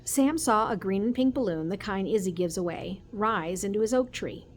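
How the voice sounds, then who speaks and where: A woman voicing a calm, serious police chief father in a storybook, measured and gentle. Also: mid-range voice, natural voice. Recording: noticeable background noise.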